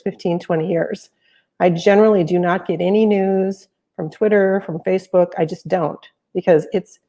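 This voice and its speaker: An American woman speaking as a panel guest, explaining her view in a measured way with occasional fillers. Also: on the low side, mellow. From United States. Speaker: panel guest, software professional. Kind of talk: panel discussion remarks.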